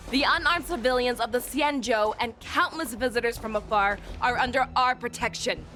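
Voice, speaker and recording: A woman voicing a commanding female general who speaks in a measured, instructive way and rallies her troops. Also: a little high. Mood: rallying. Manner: measured, instructive, rousing when addressing troops. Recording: studio game dub over loud music.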